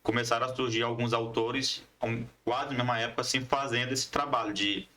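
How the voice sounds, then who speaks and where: A man's voice: medium pitch, fairly even intonation, a little fast, sharp tone. A man speaking as an interview guest, explaining things in a didactic, conversational way with frequent tag questions. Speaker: guest, history teacher and comics scriptwriter.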